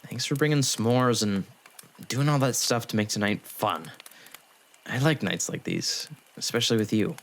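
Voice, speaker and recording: A man playing a gruff but tender young half-demon in a fan audio drama, with a casual, halting, understated delivery. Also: fairly low pitch. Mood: awkward, tender.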